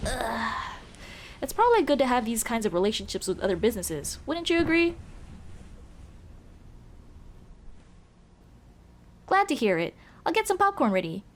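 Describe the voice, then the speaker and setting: A woman's voice: on the high side, big pitch swings, fairly quick, slight background noise. A woman playing a young tomboy childhood friend, casual, teasing and caring, in a scripted ASMR roleplay. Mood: relaxed, teasing. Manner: casual, teasing, addresses listener as dude. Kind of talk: ASMR roleplay, scripted one-sided conversation.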